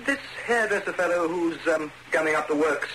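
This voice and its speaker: A man's voice: a little high, a little fast, bright, forceful tone. An actor playing a pompous, scheming Foreign Office man in a radio drama, with an arch British delivery. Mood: smug, plotting. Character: pompous, languid male Foreign Office schemer, easily affronted. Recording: noisy, hissy radio drama recording.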